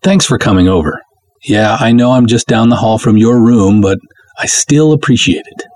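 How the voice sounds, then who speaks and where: A man's voice: mid-range voice, moderate pace, a little mellow, dry recording, close to an everyday voice. A man reading a first-person serial story as a chatty, wry woman confiding in a friend.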